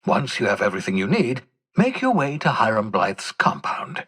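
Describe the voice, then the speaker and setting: An actor playing an eccentric, jittery older fugitive scientist in a video game, speaking in a theatrical, wordy, wry way with hesitant asides. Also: male voice, mid-range voice, huge pitch swings, normal speed, fairly bright tone, breathy edge, heavily acted. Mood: chatty, wry. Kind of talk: video game character dialogue.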